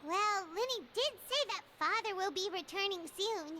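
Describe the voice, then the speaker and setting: A woman voicing a small, childlike, excitable and naive floating companion in a video game. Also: normal speed, heavy background noise. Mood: curious. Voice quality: squeaky, childlike. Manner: animated, speculating aloud. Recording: loud game music behind.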